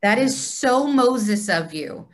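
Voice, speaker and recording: A woman guides a group discussion over a video call at an unhurried, slow pace. She teaches informally and affirms what others offer in an encouraging way. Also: fairly high pitch, animated, background noise. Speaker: discussion leader of a temple group.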